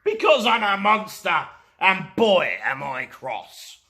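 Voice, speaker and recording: A man's voice: high-pitched, huge pitch swings, takes it slowly, bright, forceful tone, a little hiss or hum, exaggerated voice. A man voicing a cross, indignant hairy monster in a storybook reading. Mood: angry.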